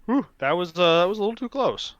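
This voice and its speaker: A man's voice: high-pitched, big pitch swings, fast talker, lo-fi sound, crowd noise. A man co-hosting a podcast with casual, wry, playful banter. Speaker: podcast co-host.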